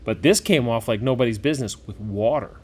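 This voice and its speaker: A man giving a casual hands-on product review, enthusiastic and folksy, thinking out loud as he goes. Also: mid-range voice, brisk. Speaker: game shop owner and product reviewer. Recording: clearly noisy room recording.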